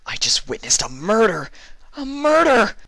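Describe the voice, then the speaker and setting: A man voicing a frightened, mouthy young female pony in a storybook reading, with shrill pleading and exclaiming. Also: huge pitch swings, unhurried, sharp tone, dry recording, full character voice, poor audio. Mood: panicked.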